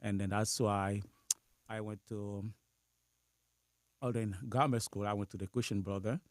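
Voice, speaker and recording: A man from Haiti with a Haitian accent, a priest whose native language is Creole, answering interview questions haltingly with small laughs. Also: fairly low pitch, steady tone, no echo, clean studio sound. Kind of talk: interview conversation.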